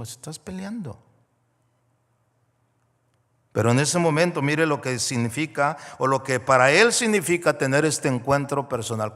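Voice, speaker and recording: A man from Mexico preaching a sermon as a guest pastor, moving between hushed storytelling and loud, rising preaching. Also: fairly high pitch. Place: large church auditorium. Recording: long hall reverb.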